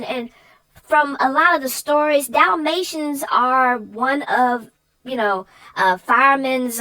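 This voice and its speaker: A woman's voice: on the high side, sharp tone, poor audio. A woman chatting casually and emphatically as a guest co-host on a children's book show. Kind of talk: casual chat about a picture book.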